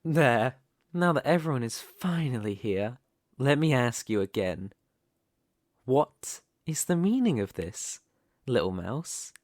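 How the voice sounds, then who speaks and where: A man playing a smug, menacing, possessive mafia boss, speaking in a taunting, silky, deliberate way in a dramatic ASMR roleplay. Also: mid-range voice, very animated, dry recording, clean studio sound. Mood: mocking, amused.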